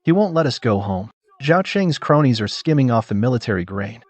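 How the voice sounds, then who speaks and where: A man's voice: mid-range voice, very animated, fairly quick, no room sound. Guy doing ordinary soldier, earnest, mid voice, anime dub, really dry recording. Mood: aggrieved, earnest. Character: plain, earnest male soldier reporting and pleading. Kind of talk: dubbed motion comic drama acting.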